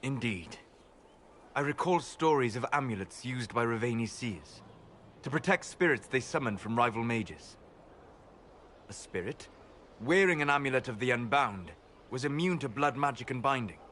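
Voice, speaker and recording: An actor playing a composed, stern, scholarly mage in a video game, speaking precisely and formally. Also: male voice, medium pitch, lively intonation, normal speed, a bit bright, slight background noise, lightly acted. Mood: thoughtful.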